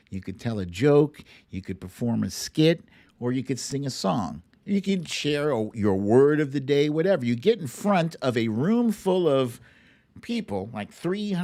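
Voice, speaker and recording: A middle-aged American man hosting a show, talking casually and emphatically in short, deliberate phrases as he gives commentary and tells stories. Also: medium pitch, darkish tone, dry recording. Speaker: show host. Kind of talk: casual monologue, commentary and storytelling on a livestream.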